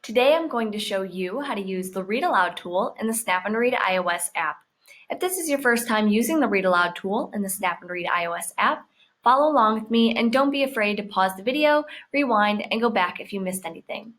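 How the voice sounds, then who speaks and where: Female tutorial narrator, mid-range voice, scripted and instructional, lays out each step clearly. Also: medium pitch, lively intonation, brisk, a bit bright. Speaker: software tutorial presenter.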